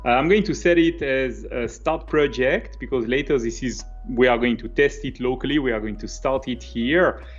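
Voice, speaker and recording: Swiss French man, slightly higher voice. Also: normal speed, hardly any pauses, muffled recording, soft background music. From French-speaking Switzerland. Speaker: software developer presenting a coding tutorial. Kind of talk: conversational live coding walkthrough.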